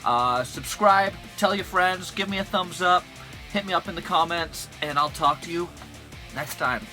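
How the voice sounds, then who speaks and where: A man's voice: high-pitched, a little slow, bright, forceful tone, faint music behind. A man hosting an informal instructional video, speaking emphatically with playful turns of phrase. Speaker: host of a gear and vehicle channel.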